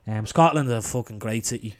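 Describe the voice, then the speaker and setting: A man in his twenties with an Irish accent, a bit hoarse, co-hosting a podcast and telling rambling, sweary stories right up close to the mic. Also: mid-range voice, brisk, over a noisy crowd. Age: 20s. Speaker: podcast co-host. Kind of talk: casual chat.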